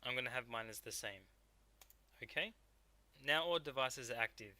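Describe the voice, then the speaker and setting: A man narrating a software tutorial step by step as a technical instructor. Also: medium pitch, moderate pace.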